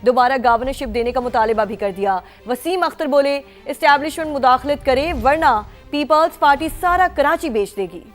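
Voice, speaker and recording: Female Pakistani TV news anchor, high register, emphatic scripted read over a light music bed. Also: animated, fairly quick, hardly any pauses.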